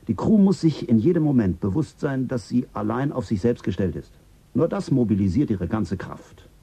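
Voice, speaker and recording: An actor playing a measured mission official explaining a space voyage in a German radio drama, with a husky voice. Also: male voice, lowish voice, dark tone, full character voice. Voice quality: husky, gravelly.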